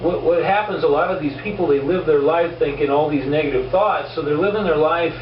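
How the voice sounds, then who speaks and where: A man with a hoarse, fading voice talks earnestly about self-improvement, stumbling over repeated words as he goes. His voice sits a bit high, and he is picked up from some distance in an echoing room. The sound is dull, with a steady hiss of noise underneath. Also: a little fast. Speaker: host of a self-improvement video show. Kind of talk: casual motivational monologue. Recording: roomy, distant, dull and noisy.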